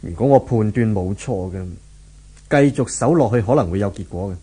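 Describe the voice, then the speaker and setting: A stern police inspector with a husky voice and a Hong Kong Cantonese accent. He speaks in a firm, methodical, commanding way, as in a 1970s radio drama. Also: lowish voice, brisk, mellow. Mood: stern, probing. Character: authoritative, methodical male police inspector leading raids.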